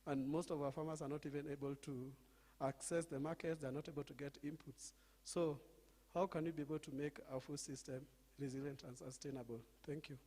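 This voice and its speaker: A man's voice: on the high side, little pitch movement, fairly quick, mellow. Man asking a question in an echoing hall. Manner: hesitant, with many fillers. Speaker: audience member asking a question. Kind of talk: question from the floor. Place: conference hall. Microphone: handheld mic. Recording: reverberant hall.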